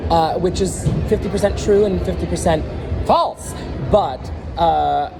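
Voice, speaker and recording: A man's voice: sits high, unhurried, vocal fry. Upbeat male drag performer recounting his story, heavy music behind. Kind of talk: casual interview. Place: convention floor. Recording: loud music in background.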